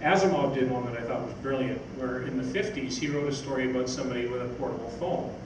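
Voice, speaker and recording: An older man giving an informal, halting talk from notes on a stage. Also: medium pitch. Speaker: speaker, magazine editor. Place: theatre stage, indoors. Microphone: stage mic on stand, picked up by distant camera. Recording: roomy, noisy stage recording from far off.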